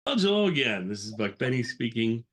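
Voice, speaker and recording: A man's voice: mid-range voice, very animated, fairly quick. A man hosting a podcast in a casual, informal and rambling way. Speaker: podcast host. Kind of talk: casual chat.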